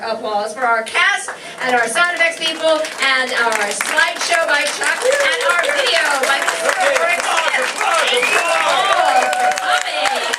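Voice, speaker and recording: A woman serving as master of ceremonies, addressing an audience from a stage. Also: high-pitched. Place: live stage show in a room. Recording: echoey distant room, loud crowd and noise.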